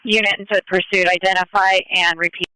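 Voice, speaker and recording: A man's voice: high voice, little pitch movement, a little fast, pushed and bright, clean tone, no echo, rough recording. A man handling police radio traffic in a clipped, procedural manner, heard over a scanner.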